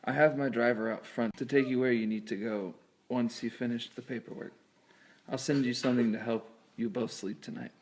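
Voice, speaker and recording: Man acting an earnest surgeon, mid-pitched voice. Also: steady tone, brisk, darkish tone, lightly acted. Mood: regretful. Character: earnest, grave male eye surgeon. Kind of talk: amateur scripted film dubbing.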